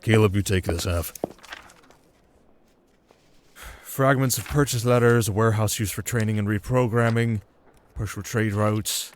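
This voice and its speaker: A man playing a shrewd, wary merchant baron in an audio roleplay, speaking in a measured, dry, guarded way. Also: on the low side, a little hiss or hum, close to an everyday voice. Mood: exasperated, sardonic. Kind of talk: ASMR audio roleplay acting.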